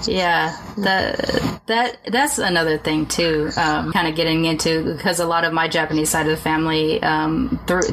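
A woman's voice: sits low, steady tone, moderate pace, fairly bright tone, low-quality recording. A woman with an American accent chatting casually as a podcast host, with some noise and clicks on the recording. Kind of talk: casual conversational interview. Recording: noticeable background noise, clicks.

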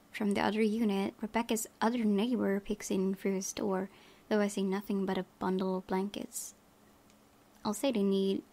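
A woman reading visual novel narration aloud as a let's play host, softly, with casual asides. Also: medium pitch, creaky.

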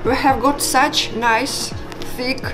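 A woman's voice: high voice, fairly even intonation, slow pace. Russian-accented middle-aged woman librarian. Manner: careful, formal phrasing. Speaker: chief librarian. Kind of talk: prepared welcome speech. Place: library office, indoors. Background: music.

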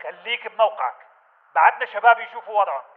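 A man's voice: high voice, expressive, very fast. A man with a Levantine Arabic accent playing a watchful, clipped insurgent sentry over a video game radio. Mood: alert, clipped. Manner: clipped, alert. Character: watchful male insurgent sentry reporting to comrades. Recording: narrow, tinny radio-like sound.

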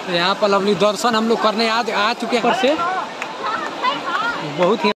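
A young man with a high voice narrates a travel vlog outdoors into a phone held at arm's length. He talks in a lively, excited way, and loud outdoor noise runs under every word. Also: normal speed, over a noisy crowd. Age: young adult. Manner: excited, calling out and cheering. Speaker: travel vlogger. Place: outdoors by a river and waterfall. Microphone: phone selfie at arm's length. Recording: noisy outdoor phone recording.

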